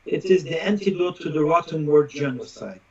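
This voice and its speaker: A man's voice: on the high side, brisk, gravelly, slightly rough, highs cut off. A man speaking as an interview guest and social critic, emphatic and declarative, building his points in repeated lists. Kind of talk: opinionated live interview talk.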